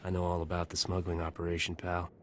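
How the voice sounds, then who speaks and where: A wry, self-assured smuggler captain from a video game, acted by a man. The voice is very low and the lines are short and cocky. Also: completely flat delivery, fast talker, background noise, lightly acted. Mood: confrontational. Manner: terse, cocky.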